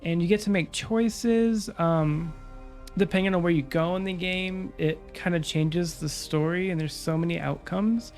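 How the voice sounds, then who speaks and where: A young woman VTuber chatting calmly about her stream plans, her nose stuffed up from a cold, with lots of fillers. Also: lowish voice, measured pace, soft background music. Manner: calm, relaxed, rambling with many fillers. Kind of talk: casual stream chat.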